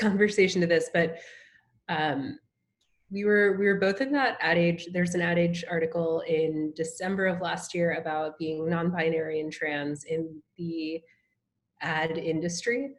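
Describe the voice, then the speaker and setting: A woman's voice: on the low side, normal speed. A woman speaking as a guest on a video call interview, casual and loose with frequent ums and small laughs. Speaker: strategist, guest.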